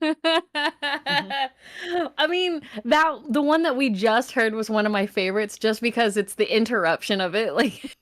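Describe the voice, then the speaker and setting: A woman's voice: a little high, measured pace, fairly bright tone, no echo, studio quality. A woman chatting casually as a voice actor guest, giggly, playful and blunt. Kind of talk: casual chat, answering interview questions.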